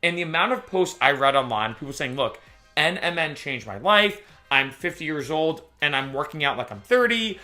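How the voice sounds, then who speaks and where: A young man chats to camera in a casual vlog, his voice bright and a little high for a man. He talks in an animated way and keeps stopping to restart or correct himself. Faint music plays underneath. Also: normal speed. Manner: chatty, restarts and self-corrections, animated retelling. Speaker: YouTuber.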